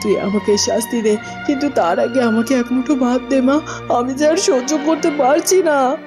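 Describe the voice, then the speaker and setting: A woman's voice: high-pitched, normal speed, lightly acted. A woman playing a once-proud queen reduced to a starving beggar, pleading and wailing in a melodramatic fairytale cartoon. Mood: tearful begging. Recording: music under the voice.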